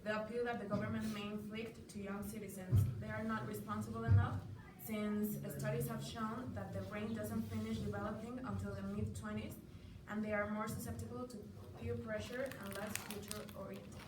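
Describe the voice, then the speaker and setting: A woman's voice: mid-range voice, little pitch movement, fairly quick, hardly any pauses. Young mexican woman debating in class, mid voice, lots of echo and room noise. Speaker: student debater. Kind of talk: prepared argument in a classroom debate. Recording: echoey, noisy room, distant mic.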